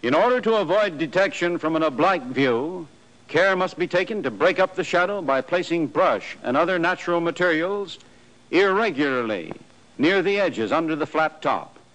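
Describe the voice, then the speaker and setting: An American man with a fairly high-pitched voice reads scripted instructional narration in the manner of a 1940s training film. His delivery is formal and precise, setting out each point matter-of-factly. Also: expressive, moderate pace, fairly bright tone, poor audio.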